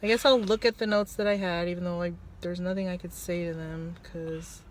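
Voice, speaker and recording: A woman's voice: lowish voice, fairly even intonation, brisk, a little hiss or hum, rough recording. A woman co-hosting a casual film review, with a blunt, rambling, sardonic way of talking. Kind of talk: casual unscripted review chat.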